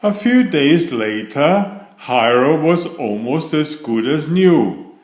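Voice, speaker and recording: A man reading a children's bedtime story aloud in a deliberate, expressive storytelling manner. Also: fairly high pitch. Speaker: storybook reader. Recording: roomy, echoey sound.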